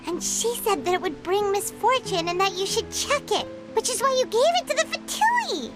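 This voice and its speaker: A tiny, childlike fairy sidekick from a video game with an extremely high, squeaky voice. She is earnest and excitable, her pitch leaping up and down, with prominent music playing behind her. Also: moderate pace. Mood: puzzled. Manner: chatty, speaks of herself in third person. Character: small chirpy childlike female fairy companion, earnest, excitable. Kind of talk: video game character dialogue.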